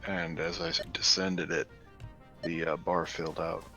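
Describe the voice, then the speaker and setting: A man chatting casually as he plays a game, in a low-key mutter. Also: flat delivery, normal speed, bright, forceful tone. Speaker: co-op gaming partner. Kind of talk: casual chat while gaming. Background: video game music.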